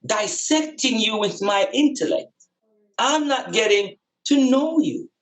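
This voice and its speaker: A man's voice: sits high, lively intonation, a little slow. A man with a Jamaican accent giving a reflective spiritual talk in an emphatic, preaching manner, with repeated phrases and rhetorical questions.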